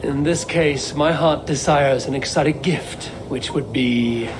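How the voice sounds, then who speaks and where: An actor playing a composed, purposeful Viking warrior hero in a video game, speaking in a measured, direct way. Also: male voice, mid-range voice, noisy background, natural voice. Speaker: game protagonist. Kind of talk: video game cutscene acting.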